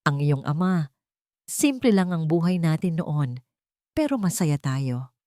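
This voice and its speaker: A woman voicing a gentle, loving elderly mother in an audio story, with a tender, calm, reflective way of speaking. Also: sits low, unhurried, no room sound, clean studio sound.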